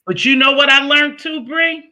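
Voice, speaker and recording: A man's voice: very high-pitched, steady tone, normal speed, bright, forceful tone. A man hosting a casual interview, chatty, telling stories and asking questions. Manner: chatty, storytelling, asks questions. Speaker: interview host.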